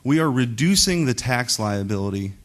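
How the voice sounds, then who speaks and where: A man's voice: mid-range voice, expressive, moderate pace. A man speaking as a senator in committee, making an impromptu, emphatic case with rhetorical questions and tags. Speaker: state senator. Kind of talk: impromptu political speech in committee.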